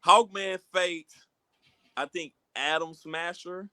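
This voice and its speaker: A man's voice: high-pitched, fairly even intonation, slow, sharp tone, clean tone, dry recording, clean studio sound. An American man co-hosting a podcast, talking casually in an emphatic, slangy way and repeating himself. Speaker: podcast co-host. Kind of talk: casual banter about comic book films.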